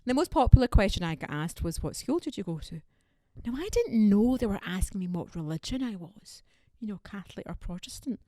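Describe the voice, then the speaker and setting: A woman's voice: medium pitch, brisk, no room sound, studio quality. A woman with a Glaswegian Scottish accent performing a stand-up comedy set in a teasing, chatty way. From Scotland. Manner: lively, teasing and chatty, with laughs. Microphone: close mic, online performance.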